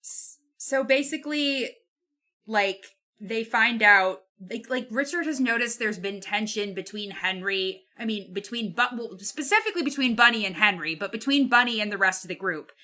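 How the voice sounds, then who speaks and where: A woman's voice: fairly high pitch, normal speed, pushed and bright. A woman chatting casually and animatedly about a book, blunt, emphatic and freely swearing. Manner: blunt, profane, emphatic, acts out quotes colloquially. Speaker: podcast co-host.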